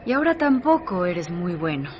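An actress playing Sara, a calm woman who waits for her lover, soft and teasing, in a radio drama. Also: fairly low pitch, normal speed, slight background noise. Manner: soft, teasing, with quiet laughs.

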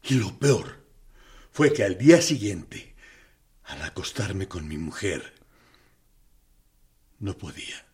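Husky tormented captain, lively uneven delivery, slow, radio drama. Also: male voice, sits low, heavily acted. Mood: anguished confession. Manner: anguished, swinging from hushed to loud. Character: tormented male torturer captain, confessing. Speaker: actor.

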